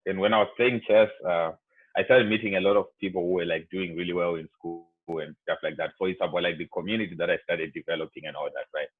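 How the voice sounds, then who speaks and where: Deep-voiced Zimbabwean man on tinny call. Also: fairly even intonation, fast. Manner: rambling, heavy on "like" and "you know". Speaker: college student speaker. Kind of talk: casual talk to students over a video call. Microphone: video call. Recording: tinny, dry video-call audio.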